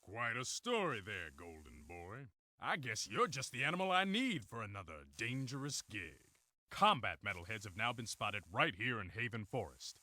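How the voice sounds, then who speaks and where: A man's voice: fairly low pitch, very animated, normal speed, pushed and bright, no echo, exaggerated voice. A man voicing a wry, tough commander in a video game, giving orders in a sardonic way. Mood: sardonic briefing. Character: wry, tough male commander handing out missions. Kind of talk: video game cutscene acting.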